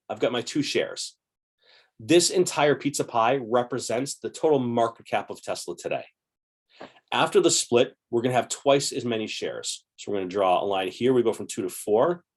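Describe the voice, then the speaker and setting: Upbeat guy in his 40s explaining stocks at his desk webcam, kinda hoarse, chatty, mid-pitched. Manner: upbeat, chatty, gesturing with both hands. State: slightly hoarse, clearing throat. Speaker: investing channel host. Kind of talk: casual explainer to camera. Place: home room with shelves.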